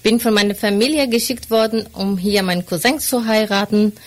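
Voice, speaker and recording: A woman's voice: mid-range voice, fairly quick. A woman from Syria, now a student, telling her story in an interview. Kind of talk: personal account in an interview.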